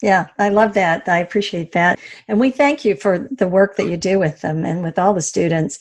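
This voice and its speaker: A woman's voice: lowish voice, normal speed. A woman from West Virginia with a light Southern US lilt hosts the conversation. She sounds affirming and appreciative as she asks her questions, and her voice comes through dry and close, with no room echo. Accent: Southern US, slight. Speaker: podcast host, interviewer. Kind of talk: conversational interview.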